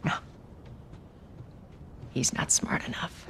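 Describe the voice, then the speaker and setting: An actress playing a tough, hard-bitten smuggler who talks in a cool, wry, blunt way in a video game. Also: female voice, slow pace, fairly bright tone, slight background noise. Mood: defiant. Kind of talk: naturalistic dramatic game acting.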